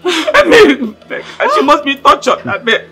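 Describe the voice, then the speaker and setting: A woman's voice: high-pitched, wildly swooping pitch, a little slow, exaggerated voice. A middle-aged Nigerian woman playing a desperate, humble mother in a film drama, begging tearfully and repeating her pleas. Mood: desperate wailing. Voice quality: tearful, wailing delivery. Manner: pleading, repetitive. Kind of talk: emotional film drama acting.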